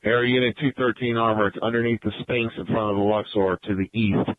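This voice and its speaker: A man's voice: on the low side, fairly even intonation, fairly quick. A man, a police officer, passing advisories to units over the radio. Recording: tinny, narrow-band police radio transmission.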